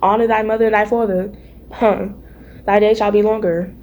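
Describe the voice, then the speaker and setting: A raspy-voiced teenage girl talking casually about her faith at home, chatty and playful, calling her viewers y'all and baby. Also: medium pitch, little pitch movement, moderate pace, darkish tone. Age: teens. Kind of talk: casual faith vlog chat. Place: home, early morning.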